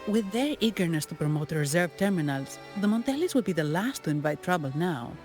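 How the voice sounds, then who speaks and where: An actress playing a calm, courteous, analytical investigator in a video game, speaking in a measured, formal way. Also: female voice, lowish voice, soft background music, natural voice. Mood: calm, considering. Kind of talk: video game character acting.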